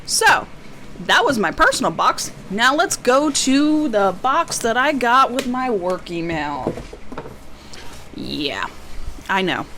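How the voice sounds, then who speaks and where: A woman with a slightly hoarse, tiring voice, chatting casually and a bit self-deprecatingly as she presents an unboxing in a rambling way. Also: fairly high pitch, sing-song, slow, sharp tone, slight background noise. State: losing her voice, slightly hoarse. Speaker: book vlogger.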